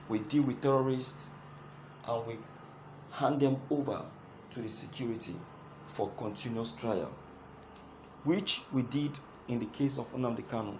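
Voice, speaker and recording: A man's voice: mid-range voice, poor audio. A man with a Nigerian accent giving opinionated political commentary to his listeners, emphatic and repeating phrases for stress. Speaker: host of a political news commentary show. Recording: clearly audible background noise.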